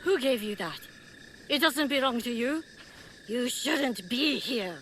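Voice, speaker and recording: Solemn, mysterious shrine maiden. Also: female voice, on the high side, lively intonation, takes it slowly, fairly bright tone, a little hiss or hum. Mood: stern. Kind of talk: dramatic game cutscene acting.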